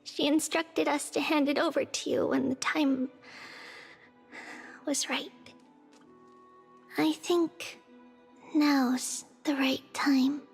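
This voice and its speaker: A small, tender girl companion from a fantasy game. Her voice is very high and slow, with a creaky edge. She speaks gently and consolingly, in a long reverberant space. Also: steady tone, soft background music, heavily acted. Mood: soft, wistful. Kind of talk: scripted game voice acting.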